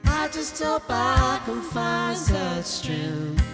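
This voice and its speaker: A woman's voice: sits high, big pitch swings, very slow. A woman singing folk Americana live, accompanied by guitar. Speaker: folk singer. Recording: live hall reverb under guitar. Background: plucked acoustic guitar.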